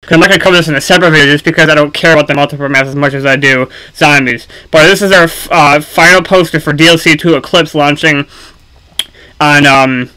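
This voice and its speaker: Man, bright forceful voice, slightly high, clear and smooth, casual video game commentator, halting delivery full of ums. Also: poor audio. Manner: halting, frequent ums and mid-sentence pauses. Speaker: YouTube gaming commentator.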